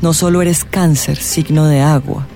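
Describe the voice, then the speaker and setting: A flamboyant fortune-telling witch for a radio play. She speaks slowly in a lower female register, stretching words for suspense and slipping toward whispers. Music plays prominently behind her. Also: fairly even intonation, creaky, warm tone. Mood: awed, dramatic. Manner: dramatic, suspenseful drawn-out delivery with whispers. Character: theatrical, eccentric woman astrologer and witch, gushing and flirtatious. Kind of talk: radio drama acting.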